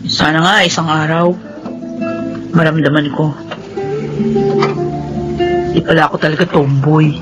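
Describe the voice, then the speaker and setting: A woman's voice: sits low, steady tone, unhurried, long pauses, poor audio. Boyish young woman, candid, protective, plain-spoken, radio drama, prominent music underneath. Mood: wistful, confiding. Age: young adult. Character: candid young tomboy daughter, protective and defensive. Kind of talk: Filipino radio drama acting.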